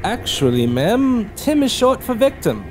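A man's voice: very high-pitched, big pitch swings, a little slow, a little mellow, soft background music, full character voice. An actor playing an eerie, overly polite and cheerful young man hitchhiking with kidnappers. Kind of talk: audio drama acting.

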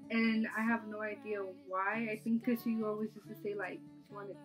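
A woman's voice: mid-range voice, little pitch movement, normal speed, lo-fi sound, music in the background. A woman in her twenties chatting casually and laughing often as she hosts a sister tag video from her bedroom. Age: 20s. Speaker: YouTube channel host. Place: bedroom, seated on a couch.

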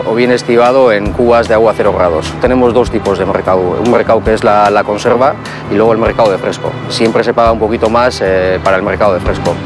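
A man with a Spanish accent from the fish market, explaining the fishing trade with many hesitant fillers, interviewed at a port. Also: fairly low pitch.

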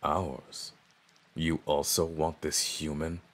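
An extremely deep-voiced male orc in a fantasy audio drama. The voice is rough and slow, pompous and menacing, that of a domineering bully who expects to rule. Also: little pitch movement, fairly bright tone, exaggerated voice. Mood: demanding. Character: arrogant, domineering male orc, would-be chief.

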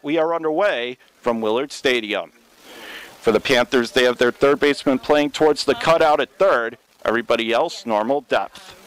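A young man calling radio play-by-play for a college baseball game, steady and measured, jumping up in pitch on big plays. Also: clean tone, rough recording.

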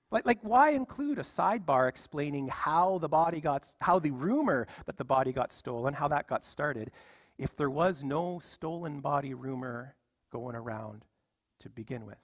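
A man's voice: fairly high pitch, no room sound, clean studio sound. A man in his thirties preaching a sermon from a church stage, emphatic and full of rhetorical questions. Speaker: pastor. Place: church stage with music stands.

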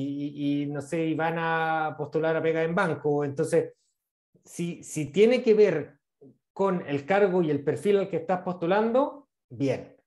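A man in his thirties with a Chilean accent, giving casual advice on a video call, fast and full of fillers and repeated words. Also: a little high, a little mellow. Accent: Chilean Spanish. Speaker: guest speaker on international internships. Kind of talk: casual advice in an online talk. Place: small room indoors. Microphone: video call, earphone mic.

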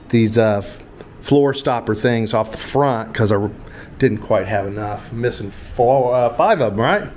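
A man in his fifties with an American accent, chatting casually as a vlog host in a joking, rambling, informal way. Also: medium pitch. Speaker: vlogger and host. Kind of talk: casual handheld vlog chat. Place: tiled room and open-fronted home shop. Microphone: handheld action camera at arm's length. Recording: noisy handheld camera audio.

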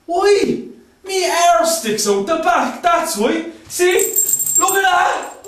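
An actor performs an indignant Irish patient in a comic radio play. The voice is high and brash, whining through drawn-out, slangy complaints. It rings around a large, echoey room, some way from the mic. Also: very animated, a bit bright, full character voice. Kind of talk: radio horror-comedy acting. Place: large book-lined room in a house. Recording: roomy, distant on-location recording.

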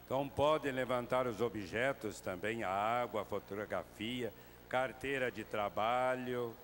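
A bishop in his sixties leading Mass in a huge covered hall, speaking slowly and warmly to the crowd into a handheld microphone. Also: mid-range voice. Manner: slow, measured and familiar with the crowd. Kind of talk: celebrating Mass, closing rites and blessing. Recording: long reverb of a large hall, faint noise.

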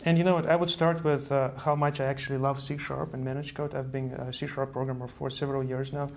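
A man with a gravelly edge to his voice explains things earnestly, often doubling back on his words. He speaks in a studio interview over a lapel mic, with faint hiss underneath. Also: mid-range voice, little pitch movement, fast talker. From Estonia. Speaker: guest, software engineer. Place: virtual news-style studio set.

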